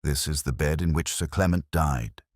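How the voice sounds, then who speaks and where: A man voicing a keen, analytical Victorian gentleman detective in a story, precise and probing in manner. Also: very deep voice, normal speed, dry recording, clean studio sound.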